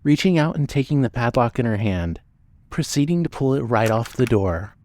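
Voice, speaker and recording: A man's voice: mid-range voice, expressive, normal speed, a little mellow. A man narrating a scripted dramatic story as an audiobook-style narrator.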